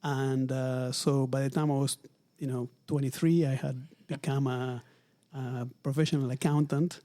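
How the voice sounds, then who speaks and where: A man in his forties from Argentina, speaking English with an Argentine Spanish accent, answering interview questions in a measured, thoughtful way with frequent fillers. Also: mid-range voice, little pitch movement, dark tone. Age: 40s. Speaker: retail executive guest. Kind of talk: interview conversation. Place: office at company headquarters.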